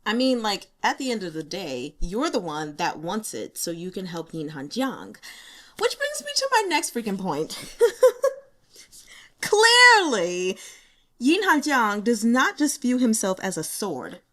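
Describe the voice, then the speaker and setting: A young woman chatting casually about a novel she is reading, animated, laughing often and acting out her own reactions. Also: medium pitch, unhurried. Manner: carefully spells out a Mandarin phrase syllable by syllable. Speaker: audiobook reader sharing chapter notes. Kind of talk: casual chat.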